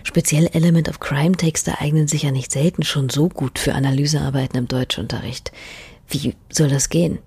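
A woman presenting a radio feature, reading her questions and links in a soft, measured, half-whispered way. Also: low-pitched, fairly even intonation, husky. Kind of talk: scripted radio feature narration.

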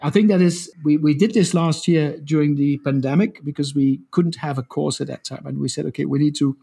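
A middle-aged man in an interview, telling stories in an animated way and laughing often, with frequent restarts. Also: fairly quick, vocal fry, breathy edge. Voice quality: says he has a deeper voice. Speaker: guest, co-founder of a school. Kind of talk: conversational interview storytelling.

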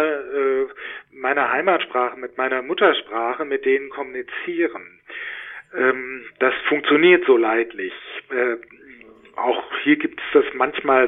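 A man answering interview questions as an expert, explaining in a halting, deliberate way and correcting himself, on thin call-like audio. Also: mid-range voice, phone-quality sound. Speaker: interviewed data protection expert and professor.

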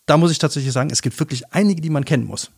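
Guy hosting a podcast, bit high voice, joking around, dead dry studio. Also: creaky, breathy edge, no echo. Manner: chatty, joking, quick asides. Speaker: podcast host. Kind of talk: casual podcast chat. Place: small studio.

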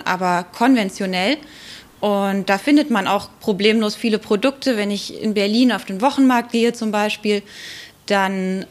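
A woman speaking as an expert guest in a podcast interview, explaining in a measured way with hesitant pauses. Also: mid-range voice.

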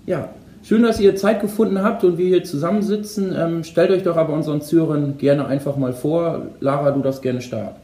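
A man's voice: a little high, fairly even intonation, a little fast, dark tone. A man hosting a company podcast, greeting guests in a friendly, casual way with frequent filler sounds. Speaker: podcast host. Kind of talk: casual moderated podcast chat. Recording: roomy echo.

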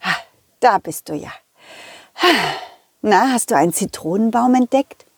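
A woman voicing a cheerful, excitable, loyal dog who tells stories to children in a playful, chatty way. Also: mid-range voice, a little slow, slight background noise. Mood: playful, excited. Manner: playful, chatty, giggly, addressing children directly. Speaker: puppet character host. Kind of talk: children's audio story narration.

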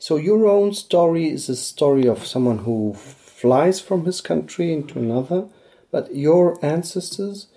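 Man, mellow voice, publisher, podcast host, deliberate questions, frequent "I see". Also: fairly high pitch, animated. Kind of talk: interview.

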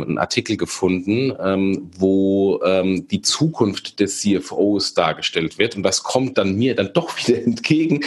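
A man's voice: deep voice, husky. A man co-hosting a podcast in casual chat, stuffed-up with a cold, hesitant and self-deprecating. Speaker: podcast co-host.

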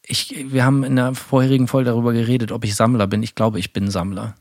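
A man's voice: medium pitch, little pitch movement, fast talker, a little mellow, no echo. Rambling German-speaking man, dry close sound. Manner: rambling, many fillers and restarts. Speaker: podcast co-host. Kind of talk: casual chat.

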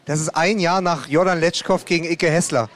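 Joking male panelist, higher voice. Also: fairly quick, a bit bright. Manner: joking interjections.

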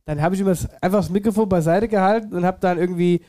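A man's voice: sits high, racing, warm tone. A man co-hosting a casual podcast from a headset, a bit stuffy from a cold, chatty and laughing often. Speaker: podcast co-host. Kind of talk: casual chat. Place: home studio, seated in armchair.

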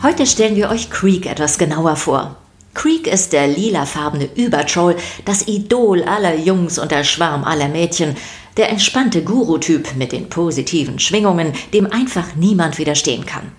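Low-pitched woman narrating for children. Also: expressive, normal speed, faint music behind. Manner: playful, addresses listeners directly. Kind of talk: scripted storytelling for a children's podcast.

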